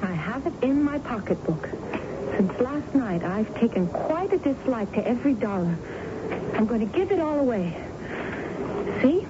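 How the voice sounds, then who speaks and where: A woman's voice: a little high, big pitch swings, normal speed. An actress playing a wistful, hesitant young woman in an earnest radio drama style. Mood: uneasy, earnest. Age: young adult. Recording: muffled, noisy old radio broadcast.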